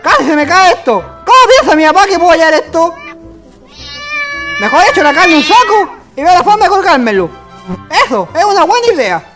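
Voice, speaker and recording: A clumsy, anxious Chilean boy in a radio drama, with a very high, swooping voice. He exclaims in a flustered, colloquial way over loud music and barking dogs. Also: sing-song, fairly quick, heavily acted. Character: Pancho, weak, clumsy, anxious boy carrying meat. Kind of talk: radio play acting.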